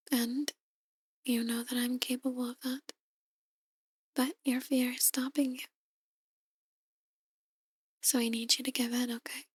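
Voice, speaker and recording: A seductive, possessive demon woman, voiced by an actress in an ASMR roleplay. Her voice is very high yet hushed and breathy, recorded dry and close. She coaxes slowly, often slipping into a whisper. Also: dry recording, full character voice. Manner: hushed, breathy, slow coaxing delivery. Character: soft-spoken seductive possessive female demon, outwardly a tarot reader.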